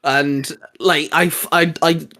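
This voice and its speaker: A man's voice: on the high side, steady tone, slow, pushed and bright. A man hosting a casual, geeky discussion, explaining his ideas in a rambling way. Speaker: host.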